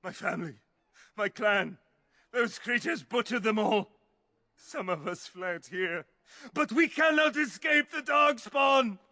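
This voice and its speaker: Crazed doom prophet in a fantasy game, really high forceful voice, ranting slow and wailing. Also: male voice, animated, exaggerated voice. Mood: grieving. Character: crazed doom-prophesying refugee, grief-stricken survivor. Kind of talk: fantasy video game acting.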